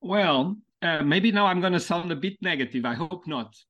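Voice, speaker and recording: Thoughtful Portuguese-accented man, slightly high. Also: expressive, lo-fi sound. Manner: thoughtful, halting, stretches key words slowly. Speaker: podcast guest, mentoring founder. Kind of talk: reflective conversational interview.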